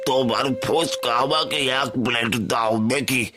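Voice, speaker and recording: A man's voice: medium pitch, measured pace, over music, lightly acted. A man voicing an approving, avuncular townsman in a cartoon. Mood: admiring, humbled. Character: approving male customers and humbled rival, avuncular. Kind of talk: cartoon voice acting.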